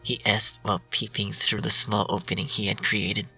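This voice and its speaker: A man narrates dark fan fiction in a hushed, half-whispered voice. His tone is bright with a gravelly rasp. He sounds a bit distant from the mic, with faint music underneath. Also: fairly low pitch, flat delivery, moderate pace. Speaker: story reader.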